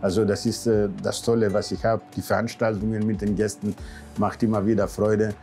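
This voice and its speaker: A man's voice: lowish voice, little pitch movement, a little fast, dark tone. Older Greek-accented man reminiscing, music behind. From Greece. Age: 60s. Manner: non-native German with loose grammar, often ending on a questioning "ja". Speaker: cruise ship captain. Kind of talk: casual reminiscing in an interview. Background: background music.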